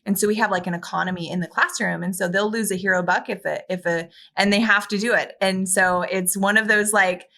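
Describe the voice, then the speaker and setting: A woman's voice: mid-range voice, steady tone, a little fast, creaky, few pauses, bright, forceful tone. A woman in her thirties, a kindergarten teacher, chatting expressively on a video call from her classroom, often restarting her sentences. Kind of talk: casual video-call interview. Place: classroom with windows.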